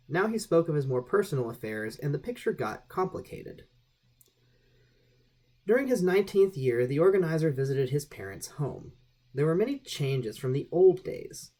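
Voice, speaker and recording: Man, audiobook narrator, scripted delivery, animated intonation, mid-pitched voice. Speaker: audiobook reader. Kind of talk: scripted narration of a vintage magazine article.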